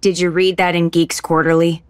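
An actress playing a cool, blunt, tough young woman hero in an animated game, terse and deadpan. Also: fairly low pitch, moderate pace, clean tone, dry recording, lightly acted. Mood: skeptical. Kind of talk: animated video game acting.

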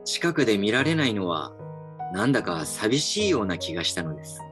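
A man's voice: fairly low pitch, sing-song, a little fast. An actor plays a polite, reflective company man in a voice drama. He muses and trails off with a wry, slightly downcast air. His intonation swings widely, and light music sits behind him. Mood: wistful, narrating. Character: reflective male company employee, wry and a little dejected. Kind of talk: voice drama acting with inner narration.

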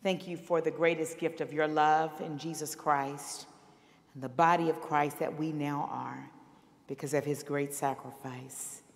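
A middle-aged woman pastor with a slightly raspy, hoarse voice, speaking to her congregation from the pulpit. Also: low voice, fairly even intonation, measured pace. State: recovering from illness, hoarse. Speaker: senior minister. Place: large church sanctuary, at the pulpit. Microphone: pulpit microphone. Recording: long church reverb.

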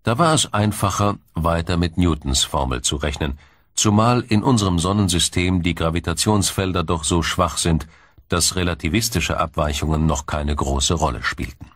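A man reading scripted science documentary narration in a measured, dramatic storytelling manner. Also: low voice, dry recording, clean studio sound. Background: music.

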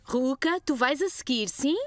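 A woman playing a caring mother of small children in a cartoon, gentle and coaxing, with a European Portuguese accent. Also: high voice, animated, a little slow, dry recording. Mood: gently coaxing.

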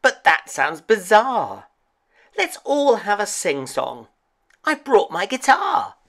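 A woman's voice: medium pitch, very animated, takes it slowly, a bit bright, pitched above the performer's own voice, heavily acted. A woman voicing a cheerful, kindly male pumpkin in a storybook, friendly and eager. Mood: chirpy.

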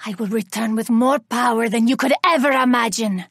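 An actress playing a vengeful, haughty elven woman warrior in a video game, speaking with deliberate, theatrical menace. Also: on the high side, dry recording, clean studio sound. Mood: vengeful, cold. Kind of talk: video game character voice lines.

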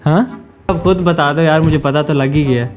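A man's voice: on the high side, steady tone, normal speed, music in the background. A man in his twenties with a Pakistani accent, chatting casually as a gaming YouTuber making prank calls. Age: 20s. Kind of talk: casual chat and prank calling. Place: indoor gaming room.

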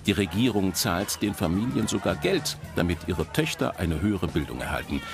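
A man's voice: deep voice, big pitch swings, moderate pace, over music. A man reading scripted documentary narration.

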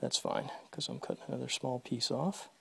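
A man with a gravelly, mid-pitched voice narrates offhandedly as he works on an electric fence inside a horse barn. He mutters to himself and his horses between steps of the job. The sound comes from a phone camera held close in the stall. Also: big pitch swings, normal speed. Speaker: video creator demonstrating fence work. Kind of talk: casual running commentary while working. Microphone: phone camera held close, first-person view.

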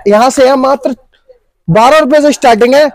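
A man running a shop, giving a repetitive sales pitch as he shows off his goods, recorded in a crowded cosmetics shop on a nearby phone camera. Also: a little fast, dry recording. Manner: repetitive selling patter. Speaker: shopkeeper. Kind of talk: sales pitch showing products.

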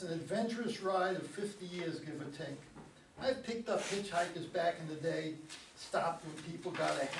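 An older man playing a weary, uneasy driver, reflective and wry, in a staged play reading. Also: sits high. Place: meeting room, around a table. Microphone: far camera. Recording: distant mic, echoey room, background noise.

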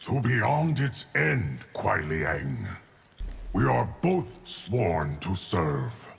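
An actor playing a calm, all-knowing mystical guardian in a video game, gravelly, measured and portentous. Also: male voice, low-pitched, exaggerated voice. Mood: solemn. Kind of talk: video game character acting.

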